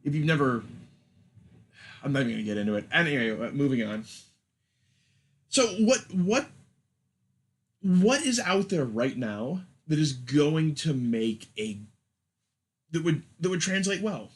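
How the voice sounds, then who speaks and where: A man's voice: on the high side, lively intonation, a little slow, pauses often. Opinionated man discussing things on a podcast. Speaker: podcast co-host.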